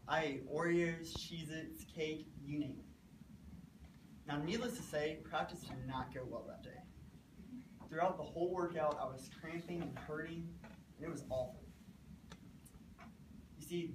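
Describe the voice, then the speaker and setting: A man's voice: fairly high pitch, noisy background. Earnest young man preaching from afar. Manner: earnest, measured, speaks in short phrases. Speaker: student preacher. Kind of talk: short memorized sermon. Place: classroom with a small stage, indoors. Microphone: far camera, no microphone. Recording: echoey room from far off.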